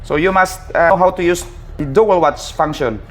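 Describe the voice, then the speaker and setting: A man with a slightly high voice teaches in a casual, hands-on tutorial style. He explains step by step as an instructor and host, haltingly, repeating himself and often addressing his listeners directly. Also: soft background music. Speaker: maritime instructor and channel host.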